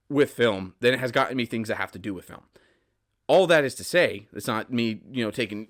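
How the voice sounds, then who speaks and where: Male podcast host, medium pitch, casual solo monologue, sincere and motivational, repeats key phrases for emphasis. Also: fairly bright tone. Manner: earnest, motivational, emphatic repetition.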